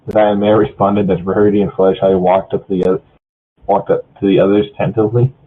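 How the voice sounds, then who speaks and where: A man with a husky voice narrates a story informally, stumbling over lines and rereading them. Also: lowish voice, flat delivery, fast talker. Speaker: narrator of a group fan fiction reading. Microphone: online voice chat. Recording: muffled, phone-like voice chat audio.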